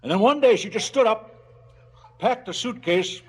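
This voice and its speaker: An actor playing a cynical, brooding crime boss in a stylised radio drama, sardonic and businesslike about killing. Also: male voice, high-pitched, animated, normal speed, darkish tone, breathy edge. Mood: cold, wistful menace.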